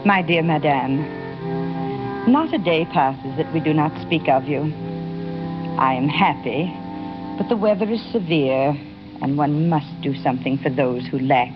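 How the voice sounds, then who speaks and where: An actress playing a gentle, devout old lady reading out a letter in a 1930s radio drama. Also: deep voice, measured pace, pauses often. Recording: muffled 1930s radio recording, music behind.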